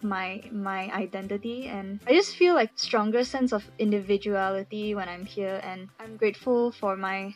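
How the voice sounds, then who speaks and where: A woman's voice: mid-range voice, poor audio. Chatty young Malaysian woman. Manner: chatty, candid, many fillers and restarts. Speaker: interviewee. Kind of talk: recorded answer to interview questions.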